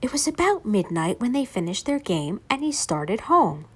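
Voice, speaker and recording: A woman reading spooky folk tales aloud as a story time reader, with dramatic, deliberate delivery. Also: mid-range voice, big pitch swings, a bit bright, slightly rough.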